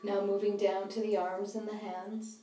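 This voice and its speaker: A woman guiding a mindfulness meditation, giving calm, measured instructions in short phrases. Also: medium pitch. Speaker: meditation guide. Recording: slightly distant roomy sound, music behind.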